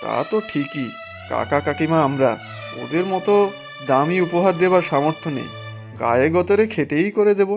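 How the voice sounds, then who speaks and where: Cartoon role: man as gentle, resigned poor husband, higher-than-average pitch, over clear music. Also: lively intonation, moderate pace. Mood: mild, resigned. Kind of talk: cartoon voice acting.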